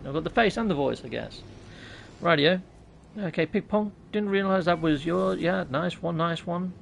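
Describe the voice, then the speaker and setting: Lively, gravelly British man streaming a game. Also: high voice, slight background noise. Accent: British English. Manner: jokey, self-mocking, chats with viewers. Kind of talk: casual live-stream chat while playing. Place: small room at home. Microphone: USB mic on top of a laptop.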